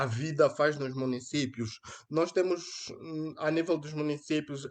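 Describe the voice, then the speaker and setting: Earnest young Angolan man, live stream. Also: a little high. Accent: Angolan Portuguese. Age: young adult. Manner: earnest, hesitant, many fillers and restarts. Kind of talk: solo live stream on politics. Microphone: headphones with mic.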